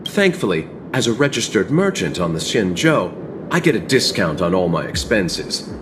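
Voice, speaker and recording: A man playing a courteous, composed young travelling merchant in a video game, speaking in a polite, measured way. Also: fairly low pitch, very animated, noisy background. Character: courteous, soft-spoken, unhurried young male travelling merchant. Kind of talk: video game cutscene dialogue.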